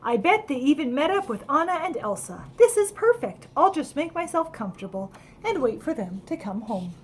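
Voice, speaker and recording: Woman reading as a high-pitched cheerful little snowman. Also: moderate pace, a little hiss or hum. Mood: eager, curious. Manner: wide-eyed, chatty, animated. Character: cheerful, naive, friendly little male snowman telling his adventure. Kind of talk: children's storybook read-aloud.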